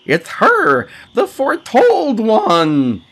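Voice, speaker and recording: A man voicing an excitable, compulsive pelican in a hard hat, earnest and dramatic, for a comic storybook tale. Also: extremely high pitch, drawn-out words, pitched above the performer's own voice, full character voice. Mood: excited, awed. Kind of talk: comic storybook character reading.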